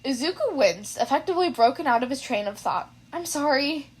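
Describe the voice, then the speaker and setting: A woman's voice: sits high, sing-song, fast talker, darkish tone, husky. A woman reading fan fiction aloud as a scripted story narration. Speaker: amateur fan fiction reader.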